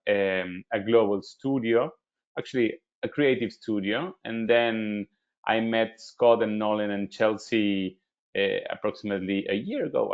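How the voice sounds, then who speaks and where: Man on a muffled call. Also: medium pitch. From Argentina. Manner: friendly, hesitant with many uhs. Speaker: creative studio leader, guest.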